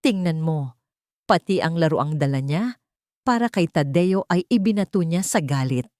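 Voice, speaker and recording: A woman's voice: low-pitched, expressive, moderate pace, dark tone, dry recording, studio quality. Woman voicing a scheming, venomous older woman. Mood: false tearful accusation. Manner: venomous, then falsely tearful and pleading. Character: cruel, scheming older woman feigning a victim's panic. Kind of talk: dramatic audio storybook.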